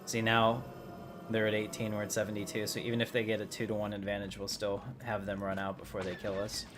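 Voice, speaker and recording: A man's voice: lowish voice, monotone, fairly quick, noisy background. A man livestreaming a game, chatting casually and off the cuff with his viewers. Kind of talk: casual livestream gaming chat.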